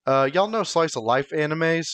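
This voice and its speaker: A man co-hosting a podcast in casual chat with friends, often halting as he thinks out loud. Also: on the high side, animated, dry recording. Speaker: podcast co-host.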